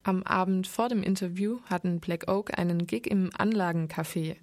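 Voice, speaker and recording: A woman reporter reading scripted narration between interview clips in a crisp, matter-of-fact way. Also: lowish voice, moderate pace, loud music behind the voice.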